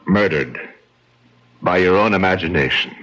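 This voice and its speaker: A dull, lo-fi radio mystery recording carries a suave, cold-blooded killer. His deep voice swoops theatrically as he gloats and taunts with smug relish. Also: lively intonation, moderate pace, very noisy. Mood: coolly taunting. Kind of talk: radio drama acting. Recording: dull, band-limited old radio recording.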